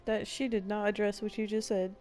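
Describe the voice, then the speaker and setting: A woman's voice: mid-range voice, flat delivery, fairly quick, a little mellow. A woman streamer giving sassy, sarcastic live reaction commentary over a video game. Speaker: gameplay streamer. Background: game music.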